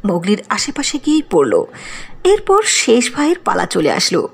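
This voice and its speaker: A woman narrating a cartoon fairy tale with expressive storybook delivery. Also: mid-range voice, fairly quick, creaky, breathy edge.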